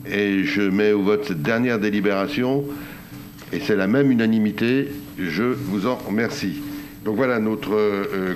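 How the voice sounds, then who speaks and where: A man with a French accent chairing a council meeting, speaking off the cuff in a semi-formal, hesitant way with drawn-out pauses. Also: fairly low pitch. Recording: faint background noise.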